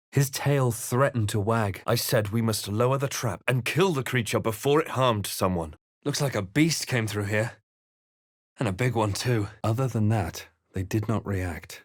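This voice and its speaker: Measured, theatrical fantasy hero, dry recording. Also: male voice, fairly low pitch, no room sound. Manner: measured, literary, theatrical delivery. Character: male fantasy adventurer hero narrating his exploits, composed, wry. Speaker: video game player-character voice actor. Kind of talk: dramatic fantasy game voice lines and narration.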